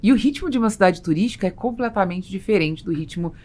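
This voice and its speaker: A woman's voice: medium pitch, expressive, quick pace, a little mellow. A Brazilian woman hosting a business podcast, explaining her opinions in a conversational way. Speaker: podcast host and interviewer.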